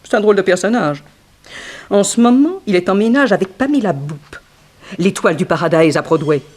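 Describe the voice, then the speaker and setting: An actress playing a frank, wry young dancer in an early 1960s French radio mystery, theatrical and gossipy. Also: female voice, medium pitch, lively intonation, a little fast, a little mellow, close to an everyday voice. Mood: disdainful, gossipy.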